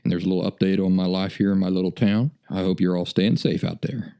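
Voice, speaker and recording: A man's voice: low-pitched, steady tone, fast, vocal fry, dark tone, clear, resonant tone. An American man hosting a podcast, telling stories in a folksy, self-deprecating way. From United States. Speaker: podcast host. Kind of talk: casual storytelling monologue.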